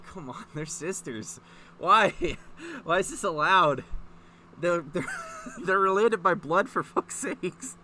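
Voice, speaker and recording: A man's voice: sits high, wildly swooping pitch, takes it slowly, sharp tone, a little hiss or hum. A man doing a casual comedic reading, profane and mock-exasperated, prone to breaking into laughter as he reacts. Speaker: host of a comedic fan fiction reading.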